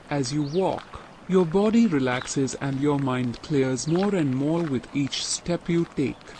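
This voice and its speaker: A man leads a guided meditation, speaking very slowly in a calm, even rhythm. His voice sits a little higher than most men's. Faint outdoor nature sounds hum beneath him. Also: rough recording. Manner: slow, soothing, evenly paced.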